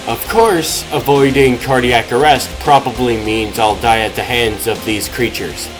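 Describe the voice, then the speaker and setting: A man plays a washed-up middle-aged superhero from a comic dub. He grumbles to himself with sardonic, self-deprecating wit at an unhurried pace, with music behind. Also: medium pitch, natural voice, rough recording. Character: wry, self-deprecating washed-up male superhero with heart trouble.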